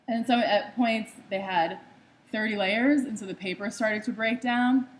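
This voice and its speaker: A woman's voice: fairly high pitch, measured pace, low-quality recording. A young woman from the United States giving a slide talk about her own art. She speaks conversationally, with frequent ums and uhs.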